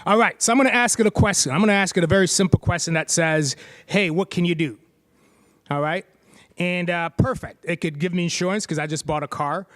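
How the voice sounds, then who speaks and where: Middle-aged male presenter, high-pitched, playful and chatty, live demo with handheld mic. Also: brisk, a bit bright. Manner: playful, chatty, jokes with the crowd. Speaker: presenter giving a tech demo. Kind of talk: live stage demo to an audience. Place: stage at a tech meetup.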